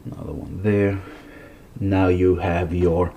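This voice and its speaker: A man with a blocked, stuffy nose talks through his toy car collection in a loose, rambling vlog. His voice sits low and mellow, and he keeps restarting his sentences. He sounds casual and chatty, recorded on a camera mic at home. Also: level tone, slow, vocal fry, a little hiss or hum. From Massachusetts, USA.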